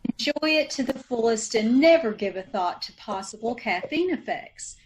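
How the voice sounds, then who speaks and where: A woman's voice: fairly high pitch, lively intonation, moderate pace, lightly acted, rough recording. A woman playing a reassuring announcer, reading a commercial in old-time radio style. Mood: persuasive, reassuring.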